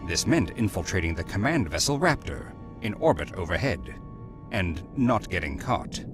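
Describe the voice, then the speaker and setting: A man voices a composed, grave Jedi recounting a mission in a comic dub. His deep voice moves expressively over background music. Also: sing-song, normal speed. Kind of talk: scripted comic dub narration. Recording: music behind the voice.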